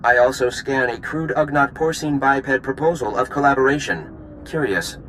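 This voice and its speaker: An actor playing a politely pedantic alien science unit in a video game, with formal, oddly stilted delivery. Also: male voice, mid-range voice, normal speed, a bit bright, a little hiss or hum, lightly acted, poor audio. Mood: formal, curious.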